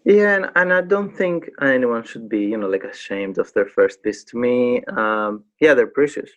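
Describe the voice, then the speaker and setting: Greek guy in his 30s, greek accent, mid pitch voice, casual interview chat, pauses hunting for words. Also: expressive, a bit bright, dull audio. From Greece. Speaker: guest, graffiti artist.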